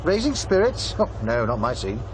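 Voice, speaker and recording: An actor playing a wry, sceptical broadcasting colleague talking dryly and ruefully in a radio drama. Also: male voice, mid-range voice, wildly swooping pitch, moderate pace, background noise. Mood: wry, resigned. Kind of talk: conversational radio drama acting.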